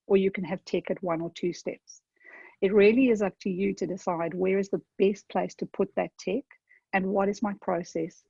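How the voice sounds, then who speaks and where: A woman's voice: mid-range voice, gravelly, a little mellow, slightly rough, no room sound, muffled recording. A woman from South Africa with a South African accent, giving a conversational webinar talk and checking in with her audience often. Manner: conversational, addresses audience directly with frequent "okay?". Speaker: webinar presenter. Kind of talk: webinar presentation.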